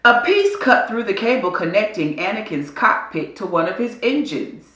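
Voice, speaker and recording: A woman reading a children's adventure story aloud in a deliberate, dramatic storytelling style. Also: mid-range voice, very animated, a bit bright, reverberant. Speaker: storybook reader.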